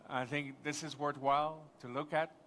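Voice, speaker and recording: A man's voice: on the high side, little pitch movement, normal speed, fairly bright tone, a little hiss or hum. French-Canadian man talking up a city. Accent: Quebec French. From Quebec. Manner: enthusiastic, rambling with restarts. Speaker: panel speaker. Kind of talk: live conference panel talk.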